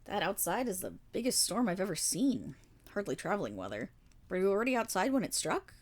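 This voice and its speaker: A woman playing a kindly, weary and remorseful paladin in a fantasy roleplay audio, speaking formally in measured, gentle storytelling with dramatic pauses. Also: medium pitch, sing-song. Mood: friendly, curious. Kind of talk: fantasy roleplay audio monologue addressed to the listener.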